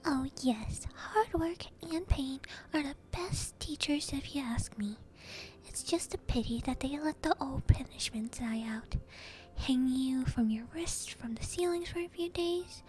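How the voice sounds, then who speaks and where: A woman's voice: high-pitched, animated, a little slow, a little mellow, low-quality recording. A woman voicing a gleefully cruel, maniacal male school caretaker from a storybook, gloating and menacing. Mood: gleeful menace.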